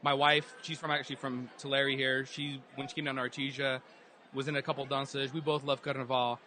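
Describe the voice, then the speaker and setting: A man's voice: mid-range voice, little pitch movement, fairly quick, bright, forceful tone, a little hiss or hum. A man earnestly telling his story as a guest in a casual interview at a live event hall. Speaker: guest, dance group organizer.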